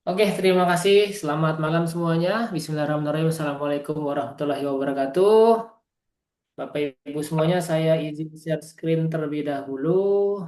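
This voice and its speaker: A man's voice: fairly high pitch, fairly quick, a little mellow. Man, East Java origin, motivational business presenter on a video call; earnest, addresses listeners directly; echoey room sound. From East Java, Indonesia. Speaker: business presenter at an online meeting. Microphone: video call microphone.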